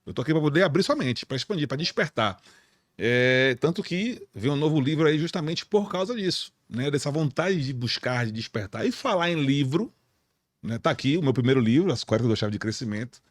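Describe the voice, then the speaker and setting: Podcast host, male, Bahian Brazilian accent, rapid and blunt, slangy street talk. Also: medium pitch, lively intonation, no echo. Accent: Bahian Brazilian Portuguese. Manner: blunt, slangy, emphatic, peppered with swearing. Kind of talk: informal podcast conversation.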